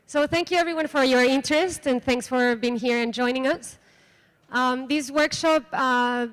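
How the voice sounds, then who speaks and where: A woman's voice: high-pitched, a bit bright. A woman from Mexico with a Mexican Spanish accent, opening and presenting a conference workshop in a casual, hesitant way with many fillers. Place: conference room.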